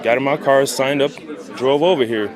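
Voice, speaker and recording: A man's voice: medium pitch, normal speed. Mancunian-accented man amid crowd chatter. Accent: Mancunian English. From Cameroon. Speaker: festival volunteer. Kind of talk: casual interview.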